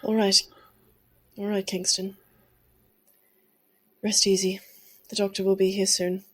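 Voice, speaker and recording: Composed, practical woman, even-toned. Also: medium pitch, measured pace, slightly rough. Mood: reassuring. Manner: controlled, practical, urgent. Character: brisk, composed woman steadying a crisis. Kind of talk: audio drama acting.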